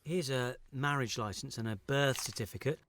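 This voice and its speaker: An actor playing an anxious, hesitant man new to a house-clearing job in a naturalistic audio drama. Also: medium pitch, normal speed, a bit bright, lightly acted. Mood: distracted, curious. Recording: clear background noise.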